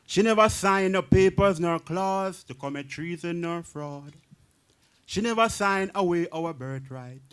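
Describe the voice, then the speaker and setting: A man with a high voice recites his poetry in a rhythmic chant. He is a poet guest on a talk show, and he chats between verses in a relaxed way. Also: smooth. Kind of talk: reciting poetry and casual chat.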